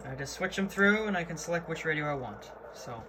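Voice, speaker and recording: A man's voice: fairly high pitch, lively intonation, a little fast. A man giving an informal, matter-of-fact, slangy hobbyist tour of his gear in an unscripted way, recorded indoors. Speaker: hobbyist presenter. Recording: clearly noisy amateur video recording.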